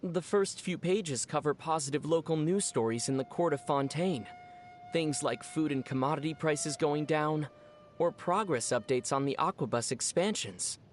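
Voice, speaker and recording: An actor voicing a young traveling adventurer in a video game, level-headed and gently teasing, explaining things in a dry, playful way. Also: medium pitch, moderate pace, natural voice. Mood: dry, explanatory. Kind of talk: video game character dialogue.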